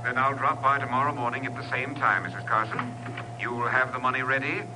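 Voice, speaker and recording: An actor playing a smooth-talking swindler posing as an investment salesman in a 1950s radio drama. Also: mid-range voice, steady tone, fast, natural voice. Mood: reassuring. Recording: noisy, humming, distant vintage radio recording.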